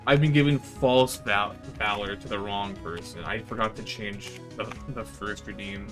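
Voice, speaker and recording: A man's voice: fairly low pitch, normal speed, over music. A man giving casual, slangy gaming livestream commentary, swearing and chatting to his viewers. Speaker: live streamer.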